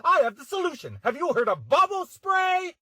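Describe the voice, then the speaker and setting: A woman playing a salesy, over-enthusiastic pitchwoman in a vintage radio commercial. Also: wildly swooping pitch, normal speed, sharp tone, no echo, studio quality, exaggerated voice. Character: peppy 1950s dish-soap pitchwoman and interviewer.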